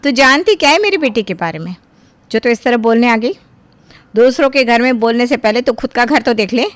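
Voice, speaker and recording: Angry, high-voiced neighbour auntie , a woman acting. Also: expressive, brisk, no echo, natural voice. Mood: confrontational anger. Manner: sharp-tongued, scolding. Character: angry, confrontational older neighbour woman defending her family. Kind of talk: cartoon voice acting.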